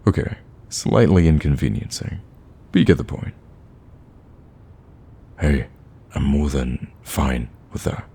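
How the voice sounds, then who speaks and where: Lovestruck male villain, played by a man for ASMR romance: rock-bottom pitch, soft rasp, hesitant and tender with a cocky edge. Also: expressive, slow, long pauses, exaggerated voice. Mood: devoted, tender. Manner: hesitant, stammering, intimate.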